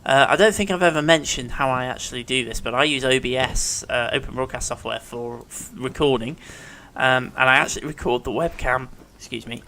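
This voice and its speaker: Gravelly man with a cold. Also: medium pitch, brisk, hardly any pauses, pushed and bright, slight background noise. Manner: chatty, rambling, thinks aloud. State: congested with a cold, sore throat. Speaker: let's play commentator. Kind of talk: casual gameplay commentary. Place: living room at home.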